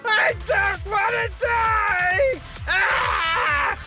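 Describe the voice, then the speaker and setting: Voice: extremely high pitch, very slow, pushed and bright, shouting, faint music behind, heavily acted. An actor playing a cowardly, hysterical fugitive criminal in a video game, whining and wailing in panic. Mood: terrified. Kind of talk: dramatic video game voice acting.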